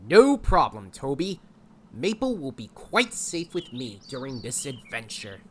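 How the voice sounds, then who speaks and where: Plucky young-hearted animal hero played by a woman: low, very animated, audio drama, slight noise behind. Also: unhurried, full character voice. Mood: resolute. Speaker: lead actor and story narrator. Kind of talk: audio drama acting and narration.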